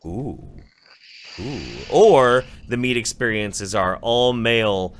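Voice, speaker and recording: A man's voice: mid-range voice, takes it slowly. A man hosting a podcast, reading donation messages aloud and joking sarcastically. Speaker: podcast host.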